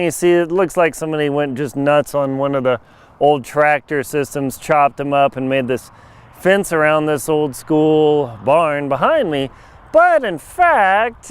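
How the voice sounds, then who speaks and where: A middle-aged man, a bit hoarse, chatting wryly to a handheld camera outdoors at a farm, with jokey asides. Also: fairly high pitch. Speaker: travel vlogger. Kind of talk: casual handheld travel vlog. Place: outdoors on a rural farm lot. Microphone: handheld camera mic at arm's length.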